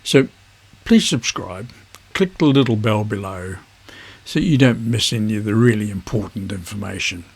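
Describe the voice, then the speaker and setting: A man with a husky voice, presenting an instructional vlog in measured phrases. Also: fairly low pitch, lively intonation. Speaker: amateur radio video host.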